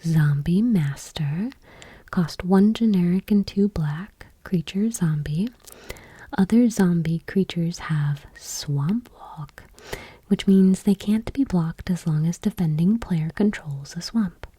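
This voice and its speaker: A woman doing gentle ASMR, reading aloud in a whispery, breathy, deliberate voice close to the microphone. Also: fairly low pitch, dry recording. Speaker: ASMR video creator. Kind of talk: soft-spoken ASMR, reading trading cards aloud. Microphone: close microphone, dry.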